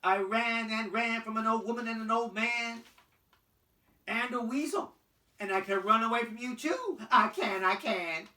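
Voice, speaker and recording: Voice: mid-range voice, measured pace, sharp tone, voice pushed up. A storyteller voicing a cheeky, boastful little runaway cake in a sing-song chant. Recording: roomy, distant microphone.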